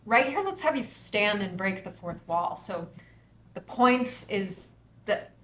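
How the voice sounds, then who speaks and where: An actress playing a brisk, commanding woman stage director at a table read. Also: medium pitch, expressive, a bit bright, roomy sound, slight background noise. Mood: directing.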